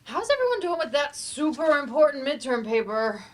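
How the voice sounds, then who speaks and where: A woman's voice: high-pitched, big pitch swings, a little fast. A woman in a staged play reading, playing a wry, teasing young student who hopes to go into nursing.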